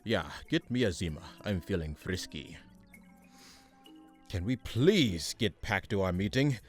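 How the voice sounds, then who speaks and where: A man's voice: sits low, big pitch swings, a little slow, faint music behind. A man playing an exasperated, condescending supervillain mastermind in a comic radio play, pompous in his delivery. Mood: weary scheming.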